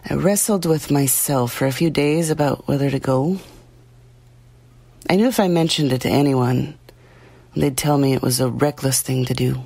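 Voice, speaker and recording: An actress playing a plain-spoken, guilt-ridden small-town woman giving halting, confessional testimony in an audio drama. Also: extremely low pitch, gravelly, dark tone, breathy edge, exaggerated voice. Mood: troubled, halting.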